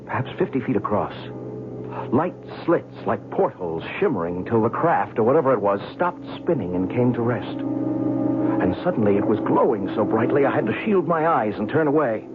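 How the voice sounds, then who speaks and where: An actor playing a young, skeptical newspaper writer, chatty and curious, in an old-time radio drama. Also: male voice, mid-range voice, sing-song, moderate pace, music in the background, natural voice. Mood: awed, suspenseful. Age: young adult. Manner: hesitant, stammering in dialogue. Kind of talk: old-time radio drama acting, first-person narration and dialogue. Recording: tinny, band-limited old radio recording, faint noise.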